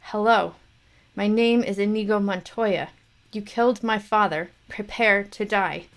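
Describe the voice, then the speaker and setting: A woman's voice: on the low side, steady tone, a little slow, gravelly. A woman voicing a wounded, vengeful young male swordsman, speaking in a grim, solemn way. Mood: rising resolve. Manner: grim, repeated solemn vow.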